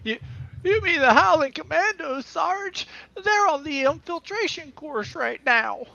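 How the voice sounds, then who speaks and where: A timid young private soldier, stammering in a high, squeaky, slightly gravelly voice that is bright and thin. The recording sounds dull and lo-fi. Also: lively intonation, measured pace, exaggerated voice.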